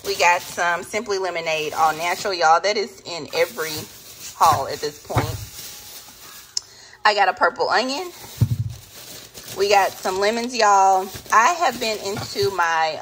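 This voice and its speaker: A woman's voice: medium pitch, expressive, a little slow, pauses often, fairly bright tone, a little hiss or hum, rough recording. A woman in her thirties from the American South with a Southern accent, chatting casually to camera while showing her groceries. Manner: chatty, says "y'all" often. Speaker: vlogger. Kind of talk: casual grocery haul chat.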